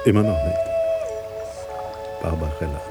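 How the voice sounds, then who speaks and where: A man's voice: low-pitched, a little slow. Wry, brooding man over music. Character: frustrated, brooding, self-mocking journalist. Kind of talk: German radio drama acting.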